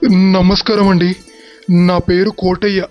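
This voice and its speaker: A man's voice: high voice, flat delivery, takes it slowly, lo-fi sound, faint music behind. A man playing a humble, deferential older village father in a dramatized story. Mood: humble, polite. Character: humble village cremation-ground keeper, doting older father. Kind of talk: dramatized moral story acting.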